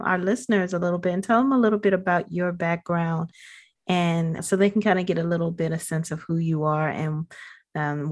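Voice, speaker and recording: A woman hosting a podcast, giving an upbeat, welcoming introduction and then interviewing her guest. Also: medium pitch. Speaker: podcast host.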